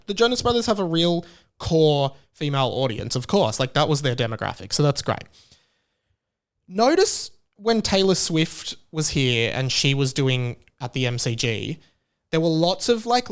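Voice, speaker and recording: Australian male podcast host, casual and self-mocking, slightly high, clear tone. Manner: rambling, self-deprecating, full of filler likes. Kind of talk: casual solo podcast chat.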